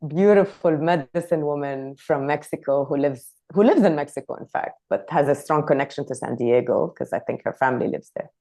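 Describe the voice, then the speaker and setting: A woman from Lebanon who grew up speaking Arabic, talking as a podcast guest in a reflective, soft-spoken way. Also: deep voice, a little fast, hardly any pauses. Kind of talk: casual conversational interview.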